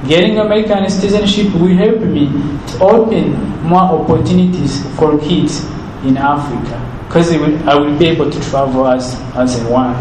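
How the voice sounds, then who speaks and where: A young man in his twenties with a Rwandan accent, a native Kinyarwanda speaker, answering interview questions earnestly and haltingly, often repeating words as he searches for them. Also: a little high. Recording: roomy with background noise.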